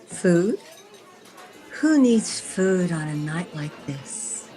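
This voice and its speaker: A woman playing a poised, smitten newspaperwoman in an old-time radio romance, measured, tender and ladylike. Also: fairly low pitch, very animated, crowd noise, full character voice. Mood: dreamy. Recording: video-call radio play recording.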